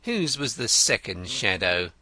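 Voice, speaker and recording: Man doing a shrewd detective, dry and teasing, slow questioning, bright tone, close dry sound. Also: on the low side, big pitch swings, dry recording, full character voice. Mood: wry. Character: shrewd, wry male detective, dry and probing.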